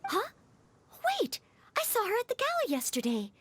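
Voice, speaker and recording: Very high-voiced, eager, helpful maid girl. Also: wildly swooping pitch, normal speed, a bit bright, heavily acted. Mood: earnest, flustered. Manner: polite, earnest, attentive. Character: eager, modest, helpful young maid knight girl Noelle. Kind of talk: video game voice acting.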